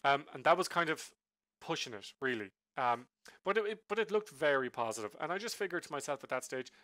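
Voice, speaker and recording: A man's voice: mid-range voice, pushed and bright, dry recording. A man casually walking through his day's trades on a chart, rambling with many ums and self-corrections while he is sick with a flu. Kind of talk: casual screen-share trade recap.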